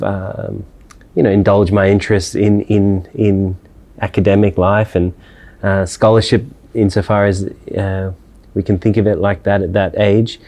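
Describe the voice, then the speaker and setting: A man gives reflective answers in an interview. His voice sits low and sounds soft and mellow. He hesitates often and restarts his phrases as he thinks. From Australia. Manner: thoughtful, hesitant with many fillers and restarts. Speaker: interviewed scholar.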